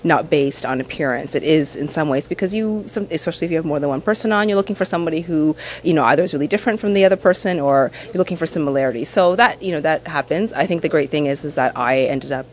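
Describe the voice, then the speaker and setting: A woman in her thirties, a TV host from Nova Scotia, answering interview questions in a relaxed, chatty way full of "you know" and "um". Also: deep voice, quick pace, hardly any pauses. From Canada, Nova Scotia.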